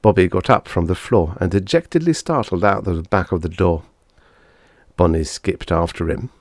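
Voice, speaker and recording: A man's voice: low voice, moderate pace, darkish tone, no room sound, clean studio sound. A man reading a short story aloud as scripted audiobook narration.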